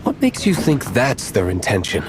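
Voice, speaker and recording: Low, brooding, aloof nobleman vigilante. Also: huge pitch swings, normal speed, noisy background. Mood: guarded. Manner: curt, reserved, dry. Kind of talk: anime-style video game acting.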